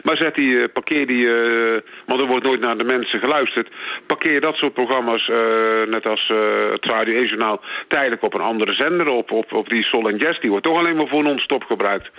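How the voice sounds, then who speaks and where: Dutch man on a tinny phone line. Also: mid-range voice. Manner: hesitant, halting, complaining. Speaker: radio phone-in caller. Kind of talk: spontaneous opinion on a phone-in. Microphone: telephone handset.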